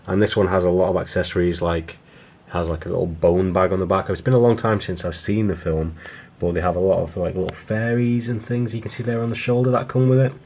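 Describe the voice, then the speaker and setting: A man with a hoarse, fading voice, chatting loosely through a show-and-tell of his collection as a vlogger. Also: deep voice, steady tone, a little fast, a little mellow. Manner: rambling, loose and chatty, with frequent restarts.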